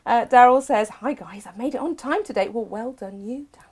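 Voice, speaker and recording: Chatty loud lady hosting a craft show, bubbly, laughs a lot. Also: fairly high pitch, fairly quick, slightly rough. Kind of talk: live shopping chat.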